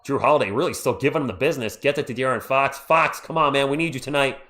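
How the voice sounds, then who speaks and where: A man's voice: mid-range voice, fast talker. A man hosting a live stream, calling a basketball game play-by-play as an open fan of one team and thanking viewers in the chat. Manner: excitable and partisan, reads viewer comments. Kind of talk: fan play-by-play commentary with chat shout-outs.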